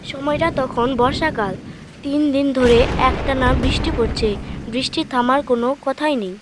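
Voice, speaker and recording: A woman tells a scripted children's cartoon story. Her voice is high, and music plays clearly underneath it. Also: a little slow. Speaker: narrator. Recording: music behind the voice.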